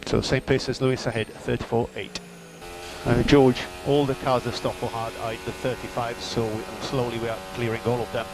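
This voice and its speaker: A man's voice: mid-range voice, level tone, fairly quick. A man working as a race engineer, giving terse, matter-of-fact technical updates in clipped phrases over team radio. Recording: noisy radio link with mechanical hum.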